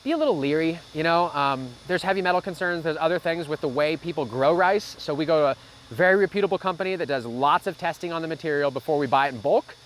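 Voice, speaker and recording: A man's voice: a little high, lively intonation, quick pace, slight background noise. Folksy practical man explaining. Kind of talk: casual explanatory Q&A.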